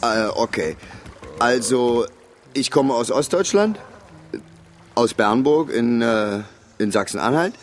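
An actor playing an awkward East German corporal of about thirty, stammering and deferential, in a radio drama. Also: male voice, medium pitch, lively intonation, takes it slowly, pauses often, slight background noise. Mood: hesitant, nervous. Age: 30s.

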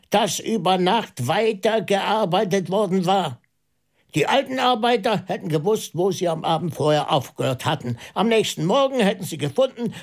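An actor playing a shrewd, thoughtful priest detective in a German radio drama, reasoning in a deliberate, ponderous way. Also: male voice, sits high, full character voice.

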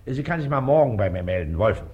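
An actor playing a pompous, impatient district official who speaks in a curt, blustering and officious way in a radio comedy. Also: male voice, medium pitch, lively intonation, quick pace, muffled recording, close to an everyday voice. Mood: impatient, dismissive. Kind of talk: stage-comedy radio acting. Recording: noisy old radio recording.

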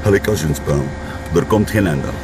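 An actor playing a laconic, weary police sidekick, deadpan in an audio drama. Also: male voice, a bass voice, moderate pace, very noisy. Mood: laconic, bored.

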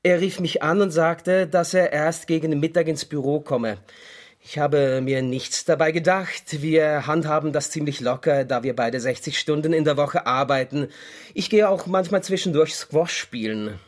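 A man's voice: fairly high pitch, moderate pace, natural voice. An actor playing an easygoing, earnest young businessman in a radio play, explaining things a little hesitantly. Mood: concerned.

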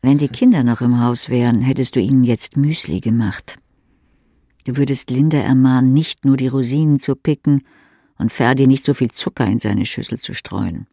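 Needling, mellow, creaky male narrator. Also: medium pitch, moderate pace, no echo, studio quality. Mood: bitter, wistful. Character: intimate needling inner-voice narrator addressing a weary wife. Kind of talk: radio drama narration in second person.

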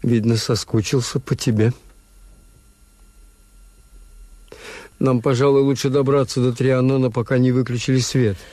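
An actor playing a world-weary hotel owner, narrating in a measured, literary way in a Soviet radio drama. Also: male voice, medium pitch, flat delivery, dark tone, no room sound, close to an everyday voice. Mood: weary, intimate. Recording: music bed, Soviet radio production.

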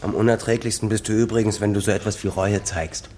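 An actor playing a bitter, wounded grown son who speaks in a reproachful, sardonic way in a radio drama. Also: male voice, lowish voice, fairly even intonation, fast, a little mellow, slight background noise, close to an everyday voice. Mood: bitter, contemptuous. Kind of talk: German radio drama dialogue.

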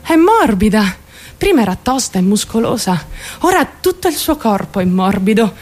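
A woman's voice: medium pitch, sing-song, moderate pace, a little mellow, natural voice. An actress playing an unstable, obsessive, desperate pregnant young woman in a raw, intense radio drama monologue. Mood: intimate, dreamy. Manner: raw, volatile, swinging from murmurs to screams.

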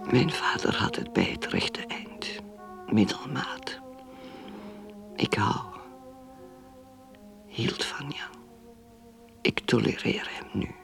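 A woman's bitter, wistful inner voice from a radio play. She whispers haltingly with a gravelly fry and a widely moving pitch, secretly longing for a drink, with music underneath. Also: wildly swooping pitch, heavily acted. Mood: bitter regret. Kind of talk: intimate radio drama interior monologue.

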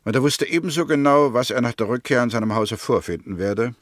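An actor playing a firm, methodical prosecutor in a 1960s German radio drama, speaking formally and precisely. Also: male voice, medium pitch, a little fast, no room sound, clean studio sound, lightly acted. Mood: firm, accusing. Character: male prosecutor, methodical, firm, convinced of guilt. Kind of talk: 1960s German radio drama acting, courtroom-style argument.

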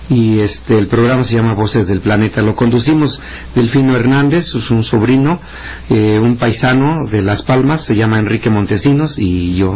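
A man's voice: mid-range voice, a little hiss or hum. Chatty Mixtec-speaking man on air. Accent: Mexican. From Oaxaca, Mexico. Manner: chatty, rambling, many hesitant fillers. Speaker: guest, community radio presenter. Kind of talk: casual radio interview chat. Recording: muffled radio broadcast.

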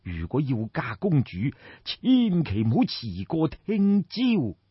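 Guy doing a solemn old court adviser, slow n husky, formal, respectful, audiobook style. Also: fairly high pitch, huge pitch swings, dry recording, dull audio, heavily acted. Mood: deferential. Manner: measured, formal, deliberate counsel. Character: grave old male royal minister, deferential, shrewd.

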